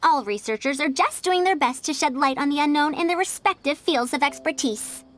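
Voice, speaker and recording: An actress playing a self-assured, scholarly teacher in a video game, speaking in a precise, instructive way. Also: female voice, high voice, brisk, a bit bright, no room sound. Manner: instructive, precise, formal wording. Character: scholarly senior female teacher, self-assured, encouraging mentor. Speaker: game character, mentor. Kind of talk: scripted video game dialogue.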